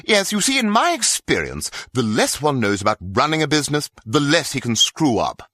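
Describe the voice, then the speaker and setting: A man's voice: medium pitch, huge pitch swings, normal speed, pushed and bright, no echo, studio quality, full character voice. A man playing a pompous, self-satisfied, rather foolish baron in a video game. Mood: smug, blithe. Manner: pompous, rambling.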